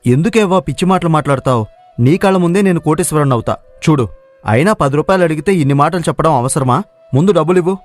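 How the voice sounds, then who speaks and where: A cocky young idler from a village tale, played by a man, talks fast and fairly high. He is brash and impatient, a lazy dreamer. Music runs underneath. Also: lightly acted. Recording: music playing behind the voice.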